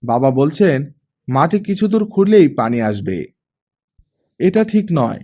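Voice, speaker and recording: A man's voice: on the high side, sing-song, unhurried, a little mellow. A man reading as a chatty, eager young girl. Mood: earnest, excited. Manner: talkative, insistent, playful. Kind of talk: audiobook character reading.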